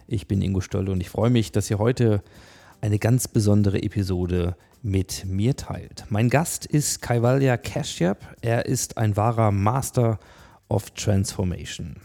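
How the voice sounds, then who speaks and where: A man's voice: fairly low pitch, gravelly, mellow, no echo. A man hosting a podcast, reading a scripted introduction and sponsor message. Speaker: podcast host.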